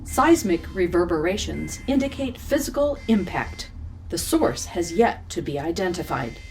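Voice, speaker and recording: A woman voicing a female spaceship computer in an audio drama, speaking in a clipped, formal, deadpan way. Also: on the low side, a little slow, slight background noise, poor audio. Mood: clipped, matter-of-fact. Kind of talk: scripted audio drama acting.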